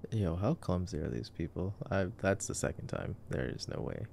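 A man giving casual, hushed ASMR movie commentary as a boyfriend roleplay, sometimes dropping to a whisper. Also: low-pitched, animated, moderate pace, creaky, a little hiss or hum. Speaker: ASMR voice actor.

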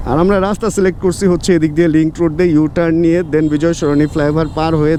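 A Bangladeshi man chatting casually to viewers as he rides a motorbike, mixing English words into his speech. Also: high voice. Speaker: motovlogger. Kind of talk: casual vlog chat while riding. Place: outdoors on a motorbike in city traffic. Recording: loud road and traffic noise.

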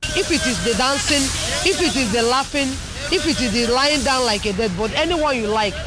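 A woman's voice: high-pitched, animated, fairly quick, poor audio. A young Nigerian woman posing as a film recruiter, giving bossy, insistent instructions on a busy roadside, caught on a hidden camera. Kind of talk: hidden-camera prank, street talk. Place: busy city roadside outdoors. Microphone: hidden camera from a distance. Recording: hidden camera, loud street traffic. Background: loud traffic and street bustle.

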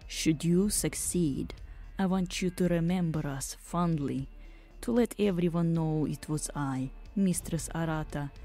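Brief: a woman playing a stern insect matriarch, Russian accent, dark low voice, very slow and deliberate. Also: soft background music. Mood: grave. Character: stern commanding female insect-bodied matriarch.

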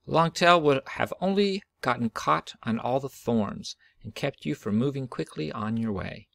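Storybook creator spirit, male, kindly, consoling, creaky, dry sound man reading the part. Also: medium pitch, expressive, unhurried, a bit bright, dry recording.

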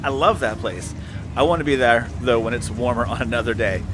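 A man's voice: mid-range voice, brisk, background noise. Chatty man filming himself outdoors. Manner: upbeat, chatty, enthusiastic. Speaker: vlog host. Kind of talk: casual travel vlog narration. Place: outdoors at a market and indoors in shops. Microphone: handheld camera at arm's length.

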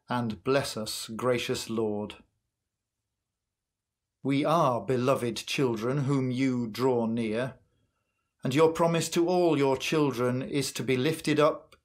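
An older man leading church worship and prayer in a solemn, measured manner. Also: mid-range voice. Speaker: worship leader. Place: indoor room at home, in front of curtains.

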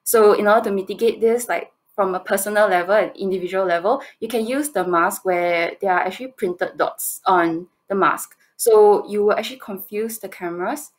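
A woman in her twenties with a Singaporean accent, answering questions on a video call in halting, hesitant phrases. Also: mid-range voice. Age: 20s. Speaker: competition presenter answering judges' questions. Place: indoor room, on a video call.

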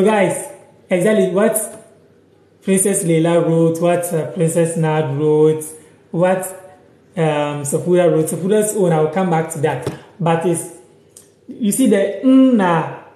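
A young man from Ghana teaching a live language lesson on his phone, slowly repeating words and sentences and reading out viewer comments. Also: a little high, mellow, reverberant. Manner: slow, repeats each word and sentence several times. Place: small room indoors, sitting on a sofa.

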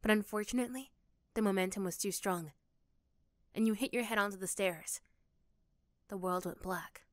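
A woman's voice: mid-range voice, normal speed, no room sound, clean studio sound. A woman reading scripted second-person story narration for an ASMR roleplay.

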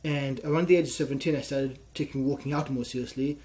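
Young Israeli guy on a headset, warm low tone, earnest storyteller. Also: steady tone, quick pace. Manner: earnest, storytelling with frequent "right?" tags. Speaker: guest, personal trainer. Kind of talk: casual interview talk. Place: small room at home.

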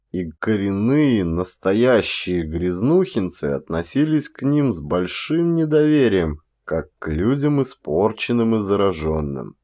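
Guy reading an audiobook, lowish voice, really animated delivery, takes his time with dramatic pauses. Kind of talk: expressive literary audiobook narration.

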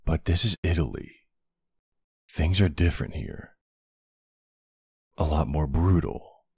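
A man playing a tender but commanding mafia boss husband in an ASMR roleplay, with a low, gravelly, husky voice. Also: slow, dry recording, clean studio sound, heavily acted. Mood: soft, serious.

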